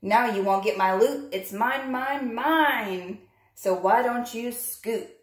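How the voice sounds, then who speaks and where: A woman's voice: on the high side, slow pace, roomy sound, voice pushed up. A woman doing a greedy grumbling pug. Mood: gloating. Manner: grumbling, possessive, shouting. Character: greedy selfish male pug dog.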